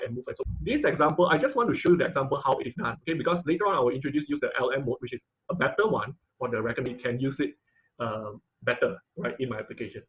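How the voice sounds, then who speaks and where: A man giving an informal live technical lesson as an instructor, speaking haltingly, repeating phrases and often checking in with "okay" and "right". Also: medium pitch, creaky, low-quality recording. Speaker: online class instructor.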